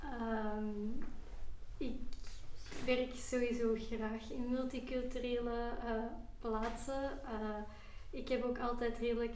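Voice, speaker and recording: A woman with a fairly high, flat voice speaks slowly and thoughtfully. She sounds distant from the mic in a reverberant room with faint background noise. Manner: measured, reflective. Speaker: team member. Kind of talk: casual group discussion.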